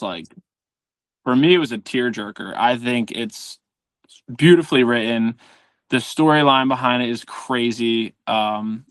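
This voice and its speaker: A man's voice: mid-range voice, fairly bright tone, no room sound. A man speaking as a podcast guest in casual, informal chat, earnest and reflective. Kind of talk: casual chat about favourite songs.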